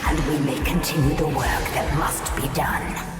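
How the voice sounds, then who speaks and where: Casting: actress for cold imperious alien female ruler, game cutscene. Low, echo-drenched, solemn and menacing, over loud score. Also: steady tone, moderate pace, over loud music, lightly acted. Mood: cold menace. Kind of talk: scripted video game cutscene acting.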